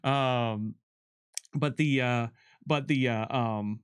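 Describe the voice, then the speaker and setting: A man's voice: medium pitch, takes it slowly, clear, resonant tone. A man giving conversational developer commentary, explaining things step by step and often checking with "right?".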